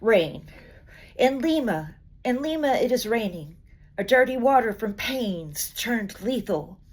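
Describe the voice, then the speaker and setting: Slow, dramatic woman reciting poetry. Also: a little high. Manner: measured, expressive delivery with deliberate pauses. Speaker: poetry reader.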